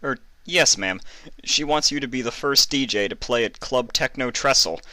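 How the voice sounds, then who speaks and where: A man's voice: medium pitch, moderate pace, bright, forceful tone, no room sound, voice pushed up. A man voicing a timid, polite young male pony assistant in a storybook reading, hesitant and deferential. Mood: nervous, sheepish. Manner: hesitant, deferential, full of ums.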